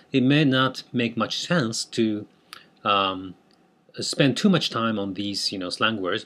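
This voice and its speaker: A man's voice: fairly low pitch, fairly bright tone. Hesitant Japanese-accented man explaining slang. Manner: hesitant, many fillers and restarts. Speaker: language teacher. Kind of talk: unscripted explainer video.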